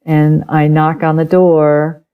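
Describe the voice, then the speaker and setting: A woman's voice: sits low, takes it slowly, clear, resonant tone, narrow, tinny audio. A middle-aged woman whose first language is German, a guest telling her own story candidly and animatedly in an interview. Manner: candid, animated, acts out past conversations and laughs often. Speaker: author and podcast guest.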